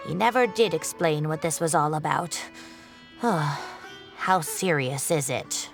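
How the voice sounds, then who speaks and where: Older female professor, gossipy neighbor, lowish voice. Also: lively intonation, slow, vocal fry, music in the background, heavily acted. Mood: fretful, chatty. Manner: gossipy, fretful storytelling. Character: older female scholar, kindly, chatty neighbor. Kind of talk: video game dialogue.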